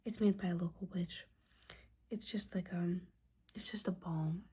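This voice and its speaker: A woman playing a gently judging, caring witch mentor in an ASMR roleplay, whispering soothingly and conversationally. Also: fairly low pitch, steady tone, unhurried. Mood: gently coaxing. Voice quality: breathy whisper.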